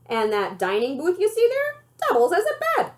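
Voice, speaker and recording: A feisty grandmother from a children's storybook, acted out by a woman reader. Her pitch is high and swings widely. She is folksy, proud and emphatic, brisk and excited in how she talks. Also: exaggerated voice.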